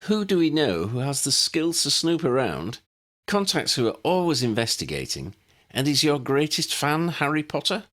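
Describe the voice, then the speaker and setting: A man voicing a quick-witted, playful young woman with a leading, teasing manner in a storybook. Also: on the high side, sing-song, fairly bright tone, voice pushed up. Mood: sly suggestion.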